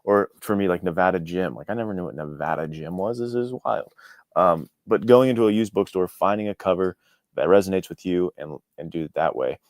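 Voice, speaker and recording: A man's voice: deep voice, a little mellow, dry recording, studio quality. A man talking casually as an interview guest, earnest and thinking aloud as he goes. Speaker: author, podcast guest.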